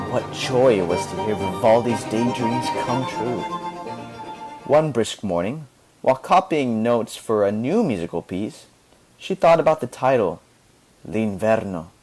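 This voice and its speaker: A man reading a children's story aloud in an animated, dramatic storytelling manner. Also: medium pitch, measured pace, over music. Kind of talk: expressive read-aloud narration with music.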